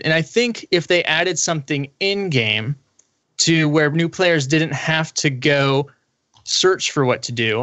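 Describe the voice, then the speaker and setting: A man's voice: fairly high pitch, a bit bright, no echo. A man talking casually as a panelist in a gaming discussion. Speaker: podcast panelist.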